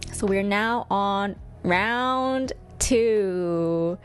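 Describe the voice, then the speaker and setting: A woman's voice: medium pitch, extremely slow, smooth. A woman giving casual, chatty reaction commentary as host, with a playful, exclamatory manner. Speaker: host ranking game trailers.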